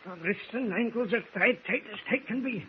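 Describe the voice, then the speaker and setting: Old scottish sailor, kinda high voice, fussy n repeats himself, hissy tinny old radio drama. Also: male voice, brisk. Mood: urgent, alarmed. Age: older. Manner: exclaiming, fussing, repeating himself. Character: old Scottish sailor, earnest, canny and loyal. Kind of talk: 1940s radio adventure acting.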